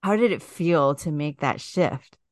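Low-voiced, encouraging woman host. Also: expressive, a little slow, dry recording, clean studio sound. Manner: encouraging, lots of affirming murmurs and curious follow-up questions. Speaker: podcast host. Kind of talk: casual interview conversation.

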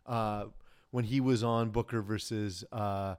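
A man co-hosting a casual sports talk show, rambling and laughing as he goes, often trailing off quietly. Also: on the low side, steady tone, a little slow, clean tone. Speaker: podcast co-host.

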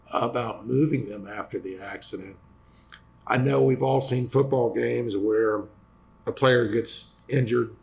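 Male host, lawyer type, raspy and gravelly, voice failing, halting casual delivery full of restarts. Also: mid-range voice. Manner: halting, many fillers and restarts. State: losing voice after vocal cord injections. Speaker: personal injury lawyer and host. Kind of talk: casual explanatory talk to viewers.

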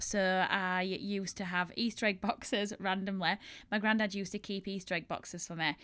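A young woman with a strong Yorkshire accent, talking through her own story in a relaxed, chatty way. Her voice is smooth and clear, and she often pokes fun at herself. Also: medium pitch. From England. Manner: chatty, self-deprecating, laughs often. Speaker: podcast host and bridal shop owner. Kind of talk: casual solo podcast storytelling.

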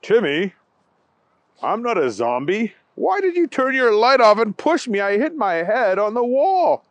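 Jolly old grandfather, done by a male narrator, storybook, reassuring, slow-talking. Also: sits high, sing-song, no room sound, pitched above the performer's own voice. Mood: sore. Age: older.

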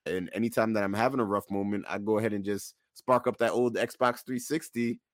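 A man's voice: lowish voice, fairly even intonation, fairly quick, dry recording, studio quality. A man co-hosting a podcast in casual conversation, speaking emphatically and repeating phrases for emphasis. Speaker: podcast co-host.

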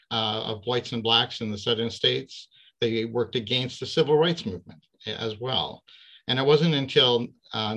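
An older man from Canada speaks to a church gathering in a bright, forceful voice. He tells his story in an earnest, measured way, often pausing with "uh" between phrases. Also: medium pitch, rough recording. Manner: measured, earnest, with frequent "uh" pauses. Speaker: retired Baptist minister telling his faith story.

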